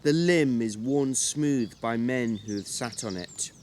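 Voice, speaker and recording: A man narrating a novel as a dramatic literary reading, with measured, deliberate phrasing. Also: mid-range voice, a little mellow, slight background noise.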